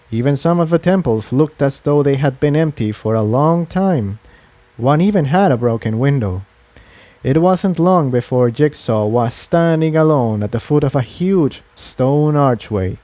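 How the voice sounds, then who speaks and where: A male storyteller narrates a fantasy adventure from a script. His voice is mellow and relaxed, with deliberate, measured phrasing. The recording is dry, with hardly any room sound. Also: medium pitch, no room sound.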